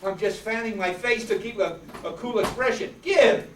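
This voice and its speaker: In an echoey room, away from the mic, a man plays a cocky noir wise guy with a high male voice. He is sardonic, slangy and flirtatious, a radio drama hustler after money. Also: moderate pace, a bit bright. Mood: cocky, teasing. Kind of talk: 1950s radio noir acting on stage. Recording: echoey room, distant mic, background noise.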